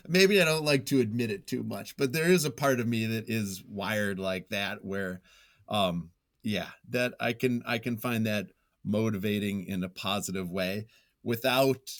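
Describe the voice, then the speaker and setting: Guy with a lowish voice being interviewed on a podcast, casual, kinda hesitant, thinks out loud, modest about himself. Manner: hesitant, self-effacing, thinking aloud. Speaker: podcast guest, nonfiction writer. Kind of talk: casual reflective interview talk.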